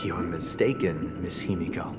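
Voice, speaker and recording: An actor playing a calm, grandiose idealist leader who speaks in a measured, formal and persuasive way. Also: male voice, low-pitched, natural voice. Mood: composed. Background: game music.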